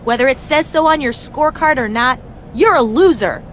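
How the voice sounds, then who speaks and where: An actress playing an exasperated, competitive wrestler who scolds bluntly in a video game cutscene. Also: female voice, sits high, normal speed, a little hiss or hum, lightly acted. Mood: scornful.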